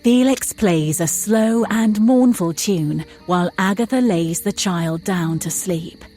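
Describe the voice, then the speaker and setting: A woman with a husky voice, narrating an audio drama as scripted scene description. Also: mid-range voice, slow pace, dark tone, faint music behind.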